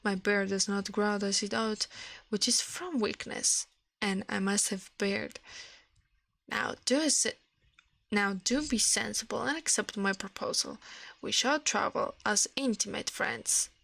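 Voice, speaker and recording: A woman's voice: medium pitch, fairly even intonation, unhurried, gravelly, bright, forceful tone, no room sound, heavily acted. A woman voicing a man's living shadow in an ASMR storybook reading, pompous, smug and condescending. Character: man's shadow come alive, smug, condescending, self-important master.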